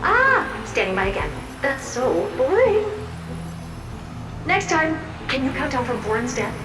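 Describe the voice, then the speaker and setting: A woman's voice: high voice, sing-song, measured pace. An actress voicing a polite, dutiful female magic mirror servant in a video game, formal and deferential. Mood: bored, pouting. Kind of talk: video game cutscene acting. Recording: echoey, distant-sounding voice. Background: loud music.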